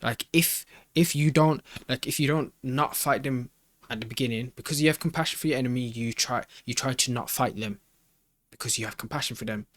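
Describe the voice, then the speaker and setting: A man's voice: mid-range voice, brisk, no echo. Rambling man reacting casually, dry close sound. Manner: rambling, slangy, thinking aloud. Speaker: reaction video host.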